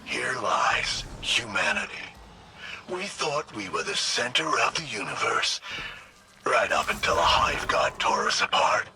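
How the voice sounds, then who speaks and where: A man's voice: on the low side, huge pitch swings, measured pace, creaky, heavily acted. An actor playing a cocky, sardonic alien machine henchman in a video game, taunting with slangy sarcasm. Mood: mocking. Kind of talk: video game dialogue acting. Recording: loud noisy game ambience.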